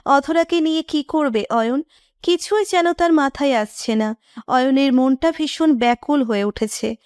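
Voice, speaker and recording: A woman's voice: high voice, a little slow, a little mellow, no echo, clean studio sound. A woman reading scripted narration of a romantic story.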